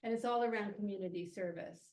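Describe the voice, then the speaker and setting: A school board trustee, a woman, speaking up at a community meeting with many ums and pauses. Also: medium pitch, a little mellow.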